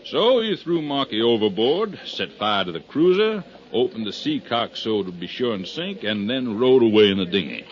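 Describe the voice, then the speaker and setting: A man's voice: mid-range voice, big pitch swings, normal speed, hardly any pauses, close to an everyday voice. An American actor playing a stubborn, sarcastic man in a 1950s radio drama, speaking in a folksy, needling, emphatic way. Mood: sure, theorizing. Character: stubborn, sarcastic man pushing his murder theory. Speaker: supporting actor in a radio detective serial. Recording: muffled 1950s radio broadcast recording.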